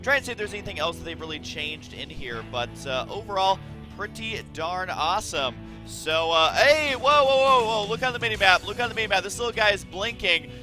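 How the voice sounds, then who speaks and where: A man commentating a video game match from his room, excitable and quick to exclaim, his voice raspy from just waking up. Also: sits high, over music. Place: his own room at home.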